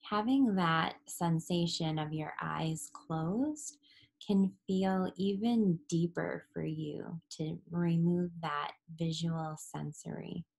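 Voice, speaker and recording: A woman leading a guided relaxation as a yoga instructor, soothing and unhurried, with long pauses and breathy phrase endings. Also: on the low side, a little mellow, poor audio.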